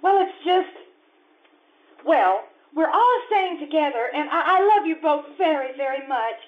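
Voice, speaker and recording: A woman playing a gentle, teasing wife and mother in a radio comedy performed live on stage. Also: sits high, animated, a little slow. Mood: tender. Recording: dull lo-fi live stage recording.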